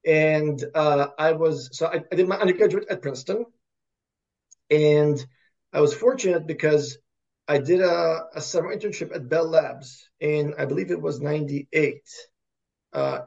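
Russian-born guy, professor being interviewed, gravelly voice, keeps restarting his words, kinda muffled lo-fi audio. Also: on the high side, darkish tone. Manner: halting, repeats words and restarts often. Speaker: podcast guest, computer science professor. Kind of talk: conversational interview answers.

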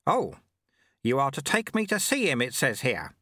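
A man voicing a cheerful, amiable little old monk sweeper in an audiobook, wryly modest, with a breezy, gently teasing manner. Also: mid-range voice, very animated, moderate pace, husky, no room sound, studio quality, pitched above the performer's own voice, exaggerated voice.

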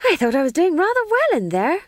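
A woman's voice: extremely high pitch, huge pitch swings, fairly quick, no room sound, studio quality, voice pushed up, exaggerated voice. A woman voicing Tom John, an eager young man out for a night of fun.

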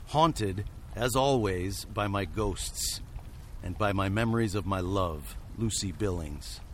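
Weary gunfighter narrator, lowish voice, wry, literary, haunted, radio western, faint background noise. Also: male voice. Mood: haunted, brooding. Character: haunted frontier hero turned weary fugitive, recalling adventures. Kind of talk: scripted first-person radio drama narration.

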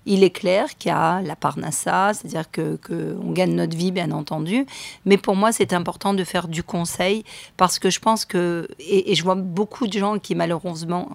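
A woman's voice: lowish voice, steady tone, moderate pace, vocal fry, no room sound. A middle-aged woman from France, a guest on a radio show, talking in a chatty, hesitant way with many fillers. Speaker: guest, real estate agent. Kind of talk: radio interview.

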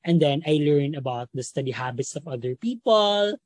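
A young man in his twenties from Bohol with a Filipino accent, a native Cebuano speaker, chatting reflectively as a podcast guest with pauses and restarts. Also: on the high side, expressive, darkish tone, no room sound. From Bohol, Philippines. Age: 20s. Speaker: podcast guest, medical student. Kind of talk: casual interview chat.

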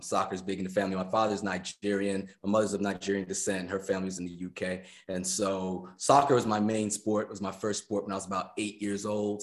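An American man of about fifty chatting casually as an interview guest, talkative and repeating phrases for emphasis. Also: lowish voice. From United States. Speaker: guest, personal trainer and musician.

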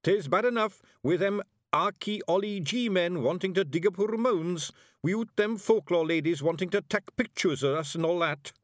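A man's voice: sits high, moderate pace, dry recording, studio quality, pitched above the performer's own voice, natural voice. A man voicing a small, bold, hot-tempered Scottish fairy warrior leader in a storybook, blustering in broad dialect. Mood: wary, proud.

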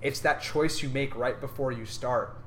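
A man's voice: medium pitch, fairly even intonation, moderate pace, a bit bright, a little hiss or hum. A young man co-hosting a casual podcast, talking in an earnest, rambling, upbeat way. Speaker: podcast co-host. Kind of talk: casual chat.

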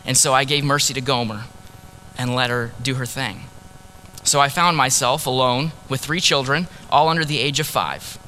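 A preacher playing a heartbroken ancient prophet. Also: male voice, mid-range voice, steady tone, normal speed, a little hiss or hum, close to an everyday voice, poor audio. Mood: sorrowful. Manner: earnest, sorrowful storytelling, drops to hushed tones on key words. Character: grieving ancient male prophet, heartbroken husband. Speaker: preacher performing a first-person monologue. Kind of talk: dramatic first-person sermon monologue.

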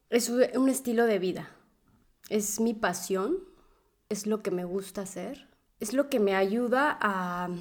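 A woman's voice: mid-range voice, darkish tone. A woman, a dance teacher, telling her story as a podcast guest in a chatty way, often adding "¿no?". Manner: chatty, fills with "¿no?" and "este". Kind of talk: casual interview answers.

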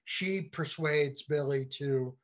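A man speaking as a podcast guest in a halting, conversational way, often repeating words as he goes. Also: a little high, muffled recording. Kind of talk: conversational discussion.

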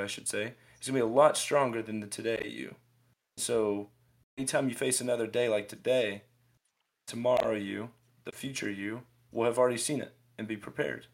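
Gravelly young man ranting on a podcast. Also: mid-range voice. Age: 20s. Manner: rambling, ranting, with frequent restarts. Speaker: podcast co-host. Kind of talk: casual motivational podcast chat.

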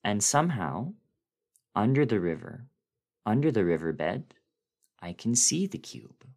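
A man giving a dramatic reading of a horror story in a deliberate, brooding way with dramatic swells. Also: deep voice. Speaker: dramatic reader.

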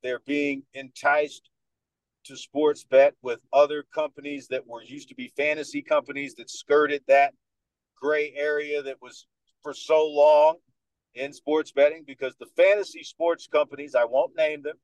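A man in his thirties with a Dominican American accent, speaking as a podcast guest in a confident, animated way. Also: on the high side, unhurried, no echo, low-quality recording. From United States, New York. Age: 30s. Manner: confident, animated storyteller who acts out other people's lines. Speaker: guest, sports radio host. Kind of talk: casual podcast interview.

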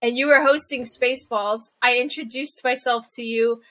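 Bright high-voiced woman, a bit stuffy. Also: normal speed. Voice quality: stuffy, nasal from allergies. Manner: animated storyteller. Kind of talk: casual chat.

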